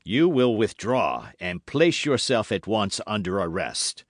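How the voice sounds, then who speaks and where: A haughty duke acted out by a male narrator in a historical storybook. He is scornful and imperious, weighing his words with animated indignation in a dry, close recording. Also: on the low side, no room sound. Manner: imperious, incredulous, deliberate. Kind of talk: dramatic audiobook character reading.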